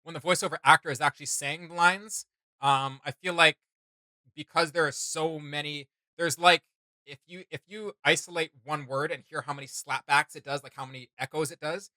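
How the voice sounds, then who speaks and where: A man with a very bright, forward voice pitched a little high, talking casually as a reaction host. He rambles through his opinions with a fan's enthusiasm, and the sound is close and dry. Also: steady tone, moderate pace, no echo.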